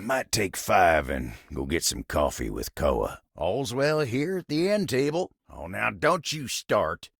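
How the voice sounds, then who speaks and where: A man's voice: on the high side, creaky, fairly bright tone, no room sound, clean studio sound, full character voice. A man voicing a friendly, humble, hard-working talking table in a video game, with a folksy, neighborly American country drawl. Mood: friendly, relaxed.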